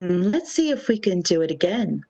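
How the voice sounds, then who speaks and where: A woman's voice: on the low side, very animated, normal speed, mellow, husky, heavily acted. A woman playing a devoted, reassuring lover in a radio romance, speaking soothingly and affectionately on a dull lo-fi microphone. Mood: fond, coaxing. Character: devoted, tender, reassuring woman, long-lost lover returned. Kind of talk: old-time radio romance acting.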